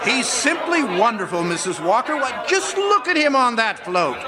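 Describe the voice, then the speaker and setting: A gushing publicity man from an old-time radio play, acted by a man. The voice is very high and swoops widely, full of exclamations. It rings through an echoey hall. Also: very animated, moderate pace, over a noisy crowd, very noisy. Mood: delighted. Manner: effusive, exclamatory. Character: gushing, excitable department store publicity man. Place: stage in a hall. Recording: echoey hall, long reverb.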